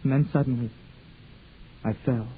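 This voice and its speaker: Young actor as a gentle, halting shy fellow; tinny old broadcast with music behind. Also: male voice, medium pitch, steady tone, natural voice. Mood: narrating. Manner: hesitant, stammering, gentle. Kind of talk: 1940s radio acting and narration. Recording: lo-fi 1940s radio with music.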